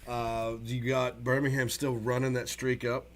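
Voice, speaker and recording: A man's voice: medium pitch, flat delivery, unhurried. A man co-hosting a sports show, giving updates in a casual, conversational way.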